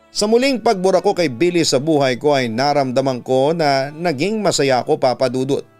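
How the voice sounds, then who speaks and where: A man with a Filipino accent reading a dramatic story and advice as a host, in an earnest, measured way. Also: mid-range voice, darkish tone. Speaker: radio announcer and story host. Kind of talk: dramatic scripted storytelling and advice, read aloud. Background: instrumental music under the voice.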